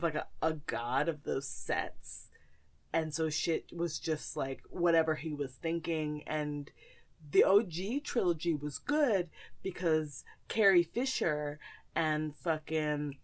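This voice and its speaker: Steady-pitched, unhurried young woman chatting. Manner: emphatic, opinionated, freely swearing. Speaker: podcast co-host. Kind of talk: casual conversation.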